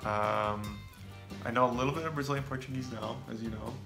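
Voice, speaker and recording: A young American man chatting casually as co-host, relaxed and rambling with fillers. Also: mid-range voice, a little fast, creaky. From United States. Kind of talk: casual chat. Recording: echoey room with faint music.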